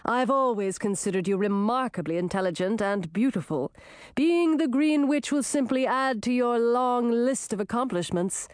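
A woman voicing an insincere young prince with formal, flattering speech in a storybook. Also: fairly high pitch, moderate pace, clear, resonant tone, no echo, studio quality, lightly acted.

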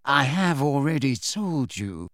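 A man voicing a cold, disdainful middle-aged male professor who speaks slowly and smoothly in an audiobook reading. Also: mid-range voice, sing-song, fairly bright tone, no room sound, studio quality. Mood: coldly smooth.

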